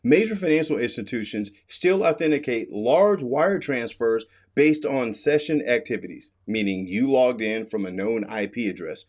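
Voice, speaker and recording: Animated American man explaining with emphasis. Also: mid-range voice, moderate pace, hardly any pauses. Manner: emphatic, instructive, repeats key warnings. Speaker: host, cybersecurity expert. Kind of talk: explainer video commentary.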